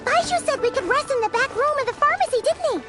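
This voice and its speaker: A woman voicing a tiny, eager, chatty female fairy companion in a video game, with a squeaky, bouncy voice. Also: expressive, fast talker. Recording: game audio with faint music.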